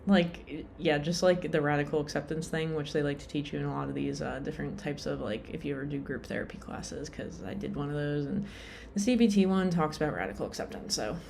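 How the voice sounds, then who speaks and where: A woman's voice: low-pitched, brisk, creaky, few pauses, darkish tone, a little hiss or hum. A young adult woman vlogging in a casual, rambling way, full of fillers and self-deprecating asides, sounding tired.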